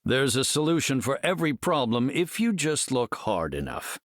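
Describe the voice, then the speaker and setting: An actor playing an earnest middle-aged scientist superhero in a video game, speaking in an articulate, cerebral, heroic way. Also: male voice, medium pitch, big pitch swings, moderate pace, fairly bright tone, dry recording, clean studio sound. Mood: calm, resolute. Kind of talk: video game hero voice lines.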